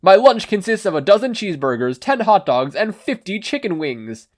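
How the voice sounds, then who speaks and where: A narrator voicing a boastful, muscular male superhero with loud, declamatory delivery. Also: mid-range voice, very animated, moderate pace, voice pushed up.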